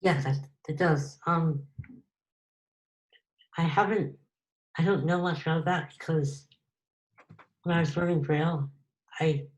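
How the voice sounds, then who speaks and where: A young woman answering questions after her student presentation on a video call, relaxed and hesitant, with many pauses. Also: deep voice, low-quality recording. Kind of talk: casual online Q&A.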